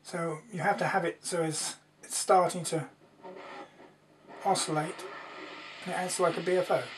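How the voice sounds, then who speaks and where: A man's voice: a little high, flat delivery, moderate pace. A man giving a casual spoken demonstration, thinking aloud in an informal, hesitant way. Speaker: presenter demonstrating a homemade valve radio. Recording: distant mic with background hiss and noise.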